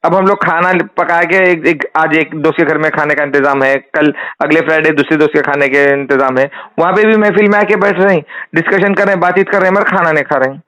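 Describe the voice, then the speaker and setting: A man's voice: a little high, quick pace, a bit bright. A man with a Hyderabadi accent on a recorded phone call, informally putting a question to a cleric and explaining it insistently, with repetition.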